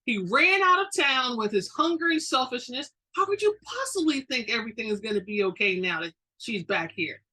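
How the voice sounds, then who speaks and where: A fierce, protective keeper of a magic shop, acted by a woman reading a novel aloud. Her voice is sharp and raspy, with an indignant, hot-tempered push behind it. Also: fairly high pitch, very animated, normal speed, hardly any pauses, pitched above the performer's own voice, exaggerated voice. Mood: angry, indignant. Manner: forceful, indignant.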